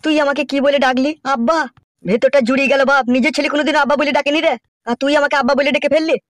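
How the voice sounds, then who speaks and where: A voice actor as a fast-talking nosy village fellow. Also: sits high, fairly even intonation, fairly bright tone, dry recording. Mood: nosy, familiar. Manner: inquisitive, familiar. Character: naive, nosy, crude male village sidekick. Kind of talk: amateur Bengali comedy cartoon dialogue.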